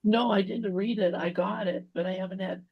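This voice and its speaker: A woman in a casual spiritual discussion, speaking haltingly and repeating words as she thinks. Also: medium pitch, fairly even intonation, husky, no echo, dull audio. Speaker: discussion group participant.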